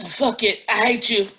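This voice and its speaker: A woman's voice: mid-range voice, gravelly, bright, forceful tone, breathy edge, heavily acted. An actress in a staged play reading, playing a touchy, indignant, blunt-spoken woman. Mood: hurt, bitter. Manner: blunt, confrontational, emphatic.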